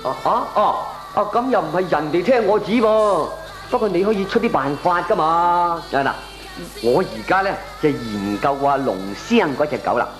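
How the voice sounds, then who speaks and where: A man's voice: high voice, animated, normal speed. An actor playing a scheming, gleeful male neighbour in a comic radio drama, with a Hong Kong Cantonese accent. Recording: echoey radio play with music behind.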